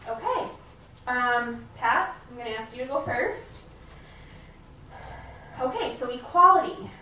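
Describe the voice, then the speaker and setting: A woman's voice: on the high side, animated, a little slow, pushed and bright. A woman playing an encouraging, careful family therapist in a role-played session, speaking hesitantly and affirmingly. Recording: very echoey room, distant mic, faint noise.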